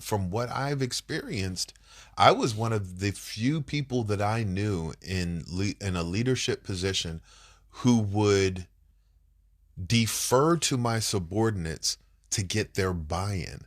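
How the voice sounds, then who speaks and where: A man's voice: lowish voice, a little slow. A man co-hosting a couples podcast, congested and nasal from a cold, talking in a blunt, emphatic, rambling way. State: congested, under the weather. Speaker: podcast co-host. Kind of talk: casual couples podcast chat.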